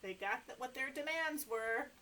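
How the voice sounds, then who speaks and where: A middle-aged American woman reading and chatting to toddlers as a story time host, in an animated, exaggerated way, recorded indoors by a camera a short way in front of her. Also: high voice, moderate pace. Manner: animated, exaggerated expression for young children. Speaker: children's librarian hosting story time. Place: small indoor room with sofa.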